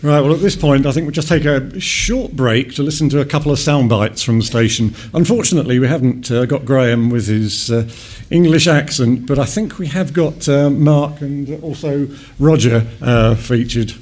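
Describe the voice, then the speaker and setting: A man's voice: medium pitch, moderate pace, a little mellow. A man hosting a panel talk, prompting guests with brief questions and introducing clips. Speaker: host. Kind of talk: panel moderation.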